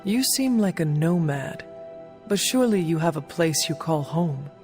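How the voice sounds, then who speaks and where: An actress playing a poised, curious warrior woman in a video game, speaking in a formal, measured way. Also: low-pitched, lively intonation, music in the background. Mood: calm, curious. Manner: formal, measured, few contractions. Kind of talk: video game cutscene dialogue.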